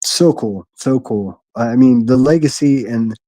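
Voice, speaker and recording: A man with a gruff voice hosting a solo livestream from his quiet living room, reading chat and speaking bluntly with frequent restarts. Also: medium pitch, unhurried, no echo. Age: adult, a military veteran. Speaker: livestream host.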